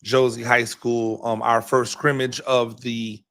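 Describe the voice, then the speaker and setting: A hoarse man gives his postgame football reaction, his voice bright and forceful despite the strain. He rambles, circles back and repeats his words with frequent ums, talking like a coach breaking down a game. His pitch sits in the middle for a man. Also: little pitch movement, a little slow. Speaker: podcast host and high school football coach.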